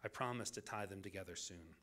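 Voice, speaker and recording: A man delivering a scripted public lecture as the lecturer, in deliberate, measured phrases with dramatic pauses. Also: sits low, fairly bright tone, slight background noise.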